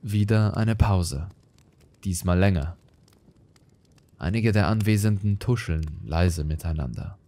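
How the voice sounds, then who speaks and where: Low-voiced man narrating a dark story. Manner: grave, measured, theatrical. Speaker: storyteller, narrator of horror podcast. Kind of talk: scripted dramatic horror story narration.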